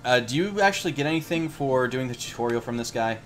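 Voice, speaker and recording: Man with lively, mid-pitched voice. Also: fast talker. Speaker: player hosting a game stream. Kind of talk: casual gameplay commentary.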